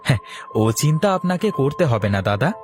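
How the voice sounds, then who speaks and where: A man's voice: medium pitch, animated, moderate pace, over music, natural voice. A man playing an earnest, polite wandering ascetic devoted to helping villagers in a cartoon moral story. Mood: earnest, kindly. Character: earnest young wandering male ascetic disciple serving villagers. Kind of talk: Bengali cartoon dialogue.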